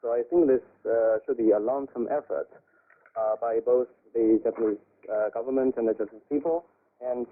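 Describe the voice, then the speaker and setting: A man gives careful, halting commentary as an academic guest on a radio panel. His voice sits at a middling pitch and comes through a narrow, muffled shortwave signal. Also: fairly even intonation. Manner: halting, measured. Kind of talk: radio discussion, extended analysis. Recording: dull lo-fi shortwave radio broadcast.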